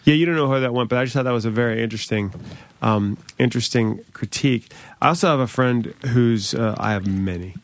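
A man's voice: mid-range voice, fast talker. A man hosting a casual podcast, asking curious questions and poking fun at himself with a loose, filler-heavy delivery. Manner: curious, self-deprecating, lots of fillers. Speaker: podcast host.

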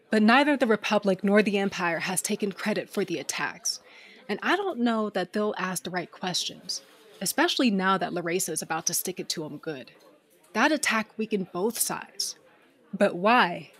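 A woman's voice: medium pitch, expressive, moderate pace, fairly bright tone, slightly rough. A woman playing a shrewd, guarded smuggler captain in an audio drama, speaking in a blunt, commanding way. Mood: brooding, determined. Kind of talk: audio drama acting and first-person narration.

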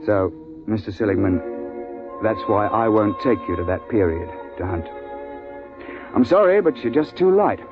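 A dull, vintage 1950s radio recording with music behind it. A male actor plays a seasoned hunting guide with a low voice, regretful yet firm, explaining himself in radio drama style. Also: lively intonation, normal speed, pauses often, natural voice. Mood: firm, refusing.

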